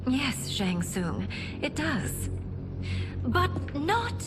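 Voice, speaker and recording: Composed, defiant warrior princess. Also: female voice, high-pitched, huge pitch swings, drawn-out words, over music, full character voice. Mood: composed, sly. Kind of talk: dramatic video game acting.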